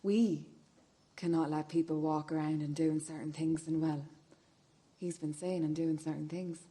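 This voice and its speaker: An actress playing a hard, controlling sister bent on revenge, pointed and insistent in a radio play. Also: female voice, low voice, steady tone, normal speed, mellow, close to an everyday voice.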